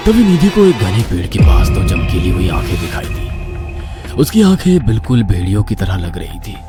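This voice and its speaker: A man's voice: sits low, big pitch swings, normal speed. A man narrating a horror cartoon story in a dramatic, theatrical way. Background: background music.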